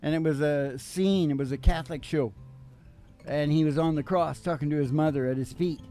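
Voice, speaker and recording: A man's voice: fairly high pitch, normal speed, dark tone, soft background music. An older man hosting a radio show, telling stories comically in a rambling, folksy, irreverent way. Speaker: radio show host and singing guitarist. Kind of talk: comic storytelling and banter.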